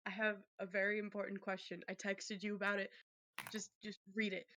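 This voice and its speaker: A woman's voice: mid-range voice, level tone, a little fast, sharp tone. A woman playing in a tabletop role-playing game, chatting in a playful, teasing way and laughing readily. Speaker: player. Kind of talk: casual tabletop game chat.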